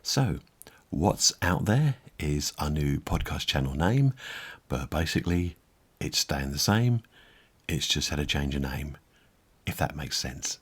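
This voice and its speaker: A man's voice: very deep voice, husky. A man hosting a podcast in a casual, conversational style. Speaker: podcast co-host.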